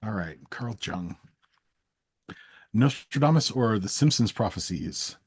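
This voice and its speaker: A man with a husky edge and a lively, swooping delivery. He plays interviewer, firing off quick either-or choices and teasing his guest as he goes. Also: fairly low pitch. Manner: playful, teasing. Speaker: interviewer, host. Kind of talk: rapid either-or quiz in casual chat.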